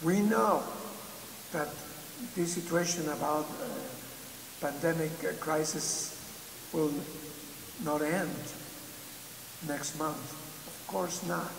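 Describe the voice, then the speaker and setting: Older male church leader, slow and measured, recorded from a screen in a reverberant church. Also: a little high, vocal fry. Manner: slow, measured, with pauses and fillers. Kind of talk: recorded video message. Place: video played on a screen in a large church. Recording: echoey church hall with hiss.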